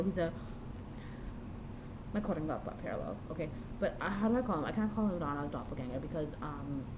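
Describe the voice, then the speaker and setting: A woman's voice: lowish voice, noisy background. A woman rambling through a diary-style vlog, meandering and often restarting or correcting herself. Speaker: vlogger.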